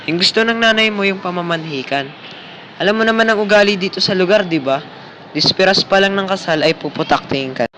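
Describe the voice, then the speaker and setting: A thrifty young Filipino husband played by an actor in a radio drama, with a fairly high voice. He reasons politely but stubbornly. A little noise sits behind him. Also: a little fast, pushed and bright. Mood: exasperated reasoning. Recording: faint background noise.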